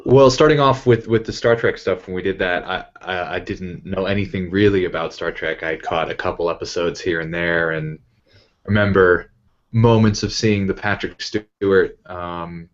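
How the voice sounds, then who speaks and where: A man's voice: deep voice, normal speed, lo-fi sound. A man talking casually about his fandom as a guest on a panel. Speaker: cast or crew member on a panel Q and A. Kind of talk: casual fan chat.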